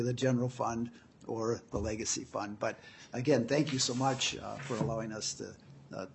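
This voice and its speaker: Husky conciliatory commissioner. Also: male voice, medium pitch. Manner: conciliatory, thankful. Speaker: commissioner testifying. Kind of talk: formal testimony.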